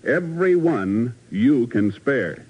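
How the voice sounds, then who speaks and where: A man's voice: mid-range voice, very animated, slow, darkish tone, breathy edge, soft background music. A man doing scripted announcing and narration in 1950s radio style. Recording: muffled 1950s radio broadcast.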